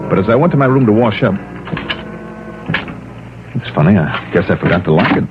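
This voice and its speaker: An actor playing a cool, shrewd insurance investigator in radio detective drama, with dry, probing authority. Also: male voice, fairly low pitch, animated, brisk, over music, lightly acted. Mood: businesslike. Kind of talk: 1950s radio detective drama acting and first-person narration. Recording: muffled, noisy old radio broadcast.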